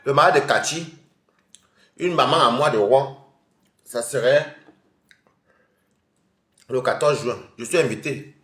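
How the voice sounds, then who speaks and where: A man's voice: mid-range voice, slow pace, pauses often, a bit bright, roomy sound. A man with a head cold, chatting casually with viewers on a live stream from home while he eats. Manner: chatty and rambling, addressing viewers directly.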